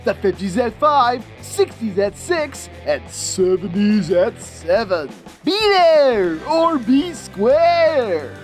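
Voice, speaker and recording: A woman's voice: a little high, sing-song, takes it slowly, hardly any pauses, faint music behind. A woman playing a peppy, tacky local radio announcer, reading a scripted retro station promo in a punchy sing-song.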